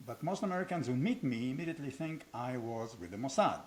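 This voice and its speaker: A man's voice: mid-range voice, lively intonation, quick pace, a little mellow. An older man from Israel with an Israeli accent, hosting an unscripted conversation in a blunt, provocative way with self-deprecating humor. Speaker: host, founder of a training academy.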